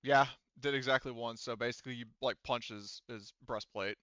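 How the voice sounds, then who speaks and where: Guy game mastering, casual and informal, bright punchy voice, dry but kinda dull lo-fi mic. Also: medium pitch, steady tone, normal speed, no echo. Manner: informal, occasional swearing. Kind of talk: casual narration of tabletop roleplaying game.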